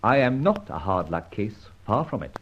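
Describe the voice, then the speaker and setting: An actor playing a masked, mocking yet courteous gentleman abductor in an old-time radio detective drama, with a theatrical, urbane, teasing delivery. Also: lowish voice, very animated, normal speed, husky, lo-fi sound.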